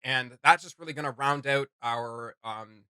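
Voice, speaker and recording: A man hosting a reaction video with casual, excited commentary, speaking in an emphatic, slangy, exclamatory way. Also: mid-range voice, a little slow, smooth, dry recording. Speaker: reaction channel host. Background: music.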